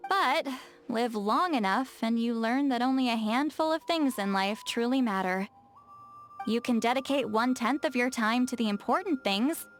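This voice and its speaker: A woman voice acting a touchy, lazy, bookish young-seeming shaman in a video game, expressive and defensive, with hesitant asides and self-corrections. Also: on the high side, natural voice. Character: touchy, lazy, bookish female shaman, youthful but secretly very old.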